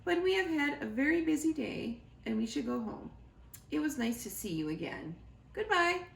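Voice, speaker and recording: A woman voicing a gentle, motherly female robot with measured, formal phrasing in a storybook reading. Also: sits high, big pitch swings, vocal fry, roomy sound, pitched above the performer's own voice, exaggerated voice, rough recording. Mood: politely apologetic.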